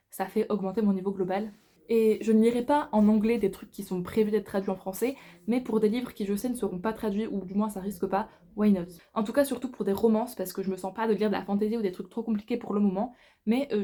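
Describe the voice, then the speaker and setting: A woman's voice: mid-range voice, dark tone. A woman in her twenties, a book vlogger, chatting casually and informally to camera, with slangy phrasing. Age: 20s.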